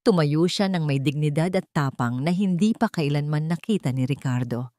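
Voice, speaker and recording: Low-pitched woman narrating a dramatic story. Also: moderate pace, warm tone, no room sound, studio quality.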